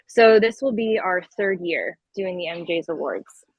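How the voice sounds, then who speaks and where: A woman's voice: lowish voice, animated, unhurried, breathy edge, no echo, low-quality recording. A woman in her thirties from Connecticut chatting informally as a guest in a casual interview. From Connecticut, US East Coast. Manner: informal, conversational. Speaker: podcast guest, event planner.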